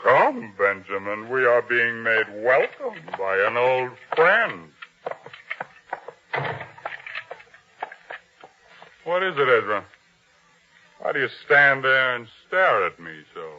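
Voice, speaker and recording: A man's voice: mid-range voice, sing-song, unhurried, slight background noise. An actor playing a proud, domineering captain of a king's guard in old-time radio drama style, commanding and taunting. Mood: teasing, familiar. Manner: commanding, taunting, self-important. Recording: dull, lo-fi old radio sound.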